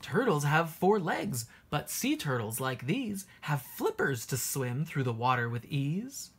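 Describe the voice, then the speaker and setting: Female storybook reader: unhurried, sing-song teacher delivery, strongly animated intonation, breathy husky tone. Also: sits low, slight background noise. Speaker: teacher reading a children's book aloud. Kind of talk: expressive picture-book read-aloud for young children.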